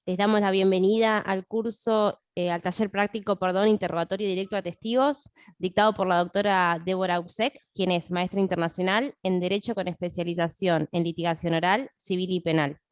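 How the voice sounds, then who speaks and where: A woman hosts an online workshop with an informal welcome. Her voice is a little low and very clear, with a dry, echo-free sound. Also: steady tone, a little fast, hardly any pauses. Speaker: workshop moderator. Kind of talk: informal spoken introduction to an online class.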